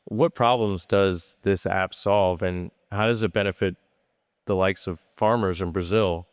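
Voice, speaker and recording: A man's voice: sits low, steady tone, unhurried, smooth, no echo, clean studio sound. A man moderating a conference panel, asking loose, rambling questions.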